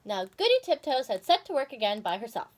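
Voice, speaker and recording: High-pitched, animated woman storyteller. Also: quick pace. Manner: dramatic, expressive. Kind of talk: animated children's story reading.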